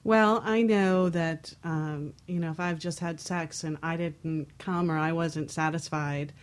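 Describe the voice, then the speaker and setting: Female talk panelist, voice a little on the low side, blunt and candid, conversational delivery. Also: fairly even intonation, unhurried, clear, resonant tone. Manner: blunt, outspoken.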